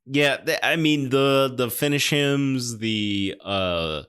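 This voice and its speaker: A man's voice: mid-range voice, slow pace, fairly bright tone, clean tone. A man hosting a podcast, reviewing films in a casual, sardonic and critical way. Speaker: podcast host. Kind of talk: casual film review chat.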